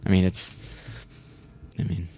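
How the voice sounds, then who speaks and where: A man's voice: a little slow, dark tone. Teenage guy chatting casually about sports. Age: teens. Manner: casual, repeats words, says "like" a lot. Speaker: podcast co-host.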